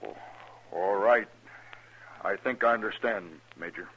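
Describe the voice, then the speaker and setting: A man's voice: on the low side, measured pace, a bit bright, husky, exaggerated voice. Folksy, humble western townsman. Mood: modest. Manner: plain, deferential country speech. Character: folksy, humble, good-natured frontier townsman. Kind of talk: 1950s western radio drama acting. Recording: dull old radio recording.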